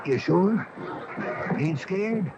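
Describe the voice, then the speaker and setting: Folksy, higher-pitched small-town man. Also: expressive, very slow, heavily acted. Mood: intrigued. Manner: folksy, musing. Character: folksy small-town tradesman, nosy and plain-spoken. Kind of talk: radio mystery drama acting. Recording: dull noisy old AM radio tape.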